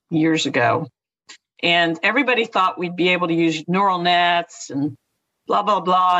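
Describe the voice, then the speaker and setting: A man's voice: high-pitched, bright, forceful tone, no room sound, highs cut off. An older man from Philadelphia with a slight Philadelphia accent, chatting casually and laughing often in a panel discussion.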